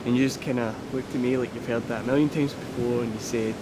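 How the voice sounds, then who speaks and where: Audio drama role: remorseful man played by a male actor, mid-pitched, halting and confessional, naturalistic delivery, heavy wave-like rumble behind. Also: fairly even intonation, close to an everyday voice. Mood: earnest, reflective. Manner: halting, confessional, swearing, repeating himself. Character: remorseful, earnest man pleading with estranged partner. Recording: loud rushing surf-like rumble noise.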